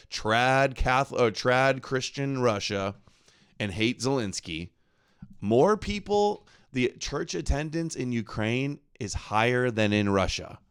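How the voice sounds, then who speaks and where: A man's voice: mid-range voice, fairly bright tone, clean tone. Sarcastic man reacting to a clip. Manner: sarcastic, mocking, argumentative. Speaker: show host reacting to a clip. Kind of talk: live reaction commentary.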